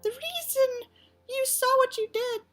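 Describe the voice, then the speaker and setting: Woman putting on a very shrill, overwrought teenage girl. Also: measured pace, a bit bright, faint music behind. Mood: tearful, struggling. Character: wounded, defiant, melodramatic teenage girl in an audiobook. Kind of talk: exaggerated dramatic audiobook character reading.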